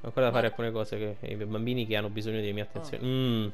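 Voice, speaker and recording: Man in halting casual game commentary. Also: lowish voice, flat delivery, over music. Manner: halting, self-correcting, informal and sweary. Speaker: video game streamer. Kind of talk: casual playthrough commentary with improvised translation of game dialogue.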